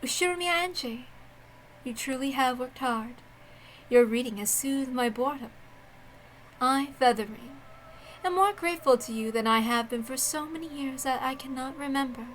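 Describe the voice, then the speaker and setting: Composed ancient witch woman performing, soft-spoken and formal, with a clear bright tone. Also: high-pitched, slight background noise, low-quality recording. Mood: calm, grateful. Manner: formal, measured, quiet.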